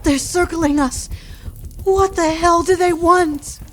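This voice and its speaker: A woman's voice: very high voice, fairly even intonation, takes it slowly, fairly bright tone, slight background noise, exaggerated voice. A woman playing a wife on a cabin getaway, speaking emotive dialogue in a horror audio drama. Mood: panicked. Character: anxious wife on a cabin getaway gone wrong.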